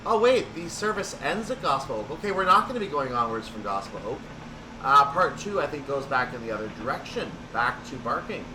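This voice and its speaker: A man's voice: a little fast, far from the mic. A man giving unscripted commentary as he plays a train simulator, thinking aloud and explaining each step. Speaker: gaming video host. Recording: roomy, off-mic, steady hum and rumble.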